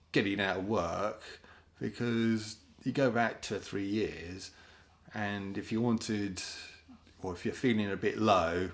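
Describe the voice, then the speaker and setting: British male monologue host, sardonic and rambling. Very unhurried delivery with plenty of vocal fry. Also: low voice. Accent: British English. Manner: rambling, sardonic, often mimics other people in put-on voices. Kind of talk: casual spoken commentary.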